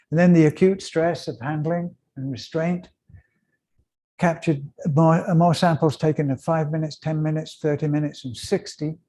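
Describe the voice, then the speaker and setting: Slightly croaky, mellow man giving a lecture. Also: fairly high pitch. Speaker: seminar speaker, academic lecturer. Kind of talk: academic lecture.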